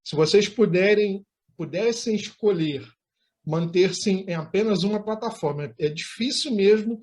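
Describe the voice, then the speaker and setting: A man's voice: high-pitched, unhurried, a little mellow. A man with a Brazilian accent hosting an online interview in formal, wordy phrasing. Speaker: interview host.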